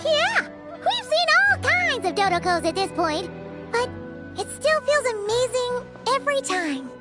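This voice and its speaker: Squeaky, extremely high-pitched little fairy. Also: female voice, sing-song, unhurried, exaggerated voice. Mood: chatty. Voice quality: squeaky, childlike. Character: tiny chatty childlike fairy companion, excitable and fussy. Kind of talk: video game voice acting. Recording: loud music under the voice. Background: loud children's music.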